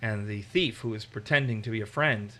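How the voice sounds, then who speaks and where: Bright-voiced man teaching scripture. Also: lowish voice, a little fast. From Massachusetts. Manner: animated, acts out quotes in raised voices. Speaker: Bible teacher. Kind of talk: conversational Bible study.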